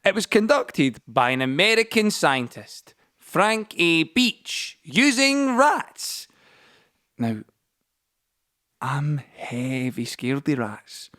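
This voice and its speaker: A man's voice: a little high, big pitch swings, takes it slowly, pushed and bright, no room sound, clean studio sound, heavily acted. A man performing a playful, nervy storyteller in an audio play, explaining science straight to the listener in a chatty, mock-lecturing way over music. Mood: measured explaining, then playful, conspiratorial. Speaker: actor and narrator in an audio play. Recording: music bed under the voice.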